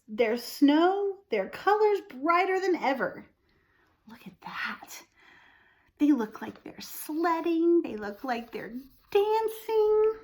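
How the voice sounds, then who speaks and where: A woman reading a picture book aloud to young children, pausing to explain things simply and ask questions. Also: fairly high pitch. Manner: expressive, asks the listener questions, explains simply. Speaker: storytime reader for young children.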